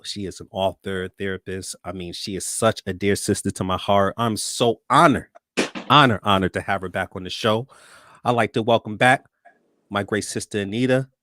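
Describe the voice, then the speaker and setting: A man hosting a talk show, upbeat and warm with his guests, often repeating words as he starts sentences. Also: low-pitched, no room sound, clean studio sound. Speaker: host.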